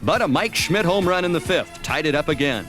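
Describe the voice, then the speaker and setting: A man's voice: a little high, animated, a little fast, a bit bright. An American man reading scripted narration that recaps a baseball series. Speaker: documentary narrator. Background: music.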